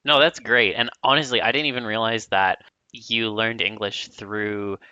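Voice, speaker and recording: A man's voice: lowish voice, fairly even intonation, normal speed, bright, forceful tone, clear, resonant tone, dry recording, muffled recording. A man hosting a casual podcast, upbeat and encouraging toward his guest. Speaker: podcast host.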